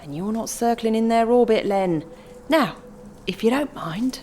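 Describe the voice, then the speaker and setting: An actor with a British accent playing a dry, teasing, unimpressed police sergeant in a radio comedy. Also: male voice, high-pitched, animated, a little slow, darkish tone, slight background noise. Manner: sarcastic, dismissive.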